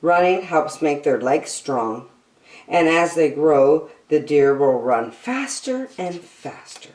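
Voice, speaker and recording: A woman's voice: low-pitched, expressive, takes it slowly, darkish tone, roomy sound. A woman hosting story time, reading a picture book aloud to young children expressively, with chatty asides and questions.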